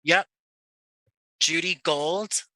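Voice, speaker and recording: An American man talking conversationally as an interview guest, choosing his words in an articulate, measured way. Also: a little high, bright, forceful tone, dry recording. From Jersey City, United States. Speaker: podcast guest, audio play creator.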